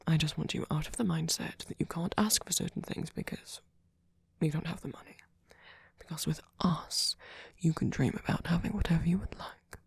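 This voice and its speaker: A man playing a gentle, doting centuries-old vampire boyfriend in an ASMR roleplay, speaking softly and haltingly, often in a whisper. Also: on the high side, steady tone, no echo, exaggerated voice. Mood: earnest, tender. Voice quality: soft, breathy, often whispered. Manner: halting, polite, fussing, softly reassuring.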